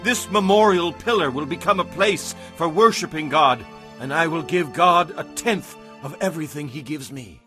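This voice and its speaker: Bright-voiced, earnest young biblical herdsman. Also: on the high side, normal speed, soft background music. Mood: solemn, fervent. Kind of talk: dramatized audio Bible acting.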